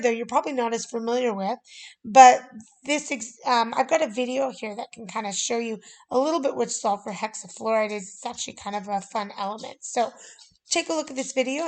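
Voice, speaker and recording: Relaxed woman teaching chemistry. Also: a little high, low-quality recording. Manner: relaxed, conversational, many fillers. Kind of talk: recorded chemistry lesson.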